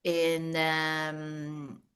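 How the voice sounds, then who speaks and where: A woman's voice: deep voice, monotone, drawn-out words, a bit bright, smooth. A woman from Peru who grew up in Italy, a guest in casual podcast chat, enthusiastic and often repeating her words. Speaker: podcast guest, makeup artist.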